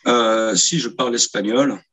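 A man in his thirties, a guest author answering interview questions in relaxed, casual speech full of hesitations and repeated words, recorded over a video call from a small room. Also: medium pitch, measured pace, breathy edge, low-quality recording. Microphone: video call, earphones.

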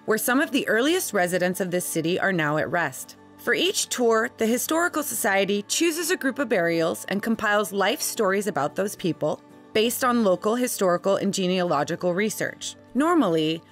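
Expressive woman narrating a history series. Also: medium pitch, moderate pace, soft background music. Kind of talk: scripted narration. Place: voice-over.

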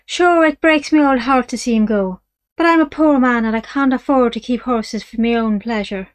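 A woman voicing a smooth-talking Irish male horse dealer with wheedling sales patter. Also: a little high, normal speed, pitched above the performer's own voice, natural voice. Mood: coaxing.

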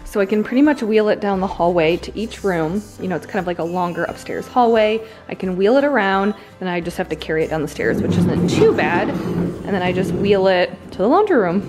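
A woman's voice: mid-range voice, expressive, fairly quick, over music. An American woman in her thirties chatting casually to viewers and explaining things in an informal way. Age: 30s. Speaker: home and cleaning vlogger. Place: indoors in a house.

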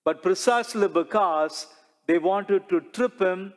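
A middle-aged man delivers a sermon as a priest, speaking slowly and deliberately and leaning on rhetorical questions. His voice sits a little high for a man. A lapel mic catches him in a large sanctuary, and a long church reverb trails every phrase. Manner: slow, measured preaching with rhetorical questions and gestures.

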